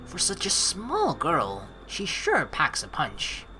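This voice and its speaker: Low-pitched, very animated woman gamer. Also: takes it slowly, low-quality recording. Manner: expressive, playful, swearing. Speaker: let's play host. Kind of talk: casual game commentary, reading dialogue aloud.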